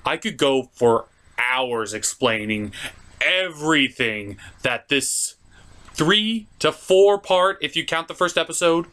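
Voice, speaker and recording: A man's voice: on the high side, animated, takes it slowly, bright, forceful tone. A man hosting a video review, giving enthusiastic, theatrical commentary with emphatic, stretched-out words.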